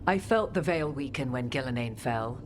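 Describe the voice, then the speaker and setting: An actress playing a measured, scholarly woman advisor in a fantasy game, with formal, deliberate phrasing. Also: very deep voice, expressive. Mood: grave. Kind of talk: dramatic game cutscene acting. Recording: faint ambient noise behind the voice.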